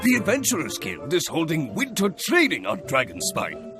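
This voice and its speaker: Hearty, wildly swooping guild leader. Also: male voice, a little high, huge pitch swings, moderate pace, music in the background. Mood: hearty explaining. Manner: hearty, exclamatory, theatrical. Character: jovial, booming male adventurers' guild leader. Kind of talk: video game voice acting.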